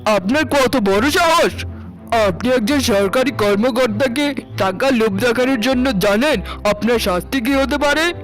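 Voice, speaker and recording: A man's voice: very high voice, a little slow, fairly bright tone, faint music behind, low-quality recording. A man voicing a stern government police officer in a cartoon, accusing and commanding with indignant threats. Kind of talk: Bengali cartoon melodrama acting.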